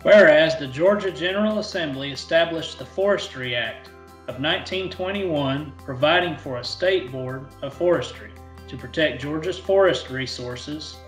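A man's voice: a little high, normal speed, soft background music. A man in his thirties formally reading a resolution aloud. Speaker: forestry employee reading a resolution. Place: wood-panelled room. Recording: echoey room on webcam.

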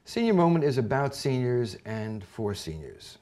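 An older man hosting a community TV interview show, asking questions haltingly and searching for his words. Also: medium pitch, very animated, dark tone. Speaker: host. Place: dark television studio.

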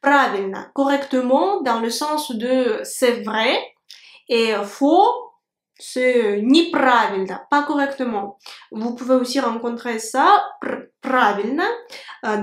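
A young woman with a Russian accent, a native Russian speaker, teaching a language lesson to camera in French with clear, careful enunciation. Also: fairly high pitch, reverberant. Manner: clear, slow enunciation of words with gestures. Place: indoor room with a plant. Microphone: camera at medium framing.

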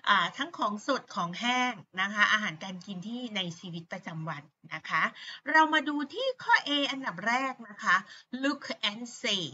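A woman's voice: fairly high pitch, bright, forceful tone, slight background noise. A middle-aged woman with a Thai accent, an English teacher patiently explaining vocabulary to pupils with many fillers. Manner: patient, repeats words slowly, many fillers. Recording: dull lo-fi sound.

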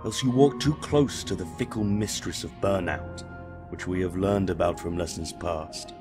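A man playing Death, a calm, wise reaper who speaks in a measured, formal and reassuring way in a fantasy audio drama. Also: low-pitched, music in the background, lightly acted.

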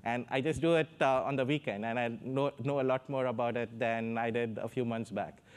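A man's voice: medium pitch, fairly even intonation, a little fast, smooth. A man with an Indian accent, speaking as a panelist on a stage through a lapel mic, hesitant with many fillers. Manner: hesitant, many fillers, gestures while talking. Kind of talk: panel discussion. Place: stage in front of a backdrop.

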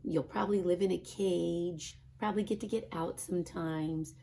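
A middle-aged woman, a kindergarten teacher, reading a picture book and chatting to children in an expressive style. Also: fairly low pitch, moderate pace, gravelly. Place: small classroom, indoors.

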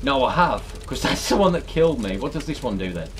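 A British man in his thirties chats casually through a horror game let's play. His pitch moves around a lot and he swears in exasperation. Clear background noise and game ambience sit behind his voice. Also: very animated, brisk, fairly bright tone. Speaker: let's player. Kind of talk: casual reaction commentary over a horror game.

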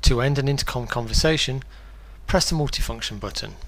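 A man reading a scripted instructional product guide in a clear, step-by-step way. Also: medium pitch, fairly quick, fairly bright tone.

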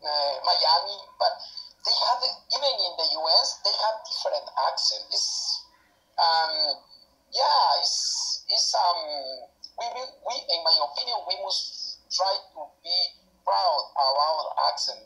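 Guatemalan guy w spanish accent on a video call, bright tone, kinda hesitant, lots of ums. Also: sits high, lively intonation, unhurried, low-quality recording. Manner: earnest, hesitant with many fillers. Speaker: guest. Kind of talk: casual chat on a video call.

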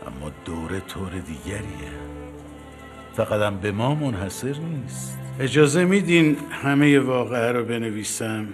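An actor playing a grave, formal older official in a period television drama, speaking in measured archaic phrases. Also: male voice, on the low side, expressive, music in the background, lightly acted. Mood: earnest.

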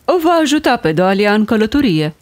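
Woman acting a lively, gracious fairy-tale heroine. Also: mid-range voice, lightly acted. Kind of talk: animated fairy tale dub.

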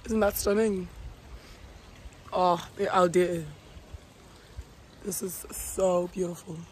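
A woman in her twenties, hoarse after losing her voice, giving a casual vlog tour of a house while filming herself on a phone held at arm's length. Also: lowish voice, steady tone, slow pace, darkish tone. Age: 20s. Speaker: vlogger. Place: large house and garden, indoors and outdoors. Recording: phone audio with clear background noise.

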